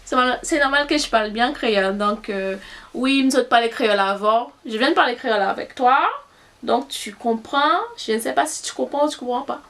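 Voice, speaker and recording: A woman's voice: fairly high pitch, fairly quick, a bit bright. A young woman from Haiti with a Haitian accent, Haitian Creole native, chatting casually to camera and thinking aloud as she answers questions. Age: young adult. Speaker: YouTuber.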